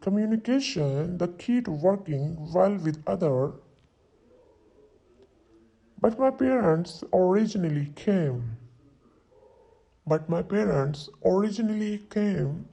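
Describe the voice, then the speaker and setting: A man in his twenties voicing a young London solicitor who introduces himself, saying each sentence twice slowly for learners to repeat. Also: high voice, warm tone, heavily acted. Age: 20s. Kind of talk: slow repeat-after-me drill reading.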